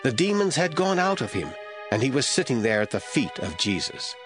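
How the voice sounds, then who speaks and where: A man narrating a Bible audio drama as scripted, expressive storytelling. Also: mid-range voice, fairly quick, over music.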